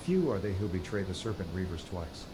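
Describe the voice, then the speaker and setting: An actor playing a grim pirate villain in a fantasy game, making formal, archaic threats. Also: male voice, sits low, big pitch swings, brisk, noisy background. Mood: cold menace. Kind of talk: dramatic fantasy game dialogue.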